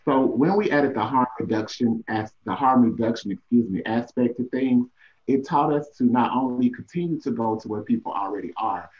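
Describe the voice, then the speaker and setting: A man's voice: medium pitch, moderate pace. A man on a panel explaining a prevention program over a video call, earnest and hesitant, with many fillers. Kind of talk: casual panel answer. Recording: dull lo-fi video call audio.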